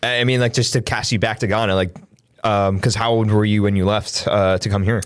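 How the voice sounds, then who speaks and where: A man hosting an interview asks questions in a quick, fairly low voice, often echoing and completing his guest's thoughts. The recording sounds dry and close, with no room around it. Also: steady tone. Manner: affirming, finishes guest's sentences. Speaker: podcast host. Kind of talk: casual interview talk.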